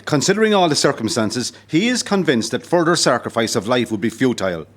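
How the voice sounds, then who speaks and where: A man's voice: medium pitch, big pitch swings. Solemn Irish rebel commandant played by a man. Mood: solemn resolve. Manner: measured, formal oratory. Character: Irish rebel commandant, solemn, resolute, addressing his men. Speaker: actor in an amateur audio play. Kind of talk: historical audio drama acting.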